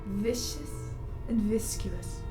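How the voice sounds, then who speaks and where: A woman's voice: medium pitch, takes it slowly, music in the background, natural voice. A woman acting a gushing, eccentric socialite in a surreal radio play, affected and theatrical. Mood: knowing.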